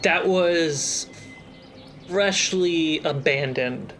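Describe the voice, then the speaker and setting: A man's voice: on the high side, extremely slow, fairly bright tone, a little hiss or hum. A man running a tabletop role-playing game, giving casual narration and answers as game master.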